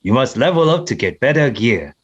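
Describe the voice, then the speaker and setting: An actor playing an elderly Chinese laborer, a video game guide character with a thick Chinese accent and broken English. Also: male voice, medium pitch, big pitch swings, fairly quick, slightly rough, muffled recording. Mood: unbothered, instructive. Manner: broken English, cheerfully scripted. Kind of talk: table read of a comedy screenplay.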